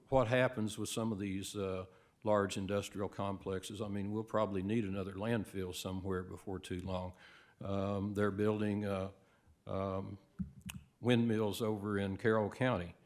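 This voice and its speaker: A hoarse man with a cold, giving a measured, halting public comment at a county meeting. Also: on the low side, a little mellow. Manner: measured, halting, many fillers.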